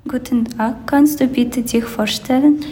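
Woman, interviewer, slightly high voice, breathy, mellow tone. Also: steady tone, a little slow. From Ukraine. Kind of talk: podcast interview.

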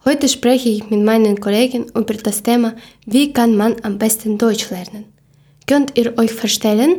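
A woman's voice: on the high side, fairly even intonation, normal speed, mellow, breathy edge. A woman from Ukraine, hosting a podcast and carefully reading her lines from a script. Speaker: podcast host.

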